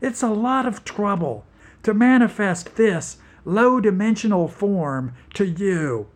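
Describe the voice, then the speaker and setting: A man voicing an otherworldly toad creature with a hissing, staticky voice set a little high. It speaks in a cool, detached, slow drawl, like a storybook monster. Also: lively intonation, heavily acted. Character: eerie demonic toad creature from another dimension.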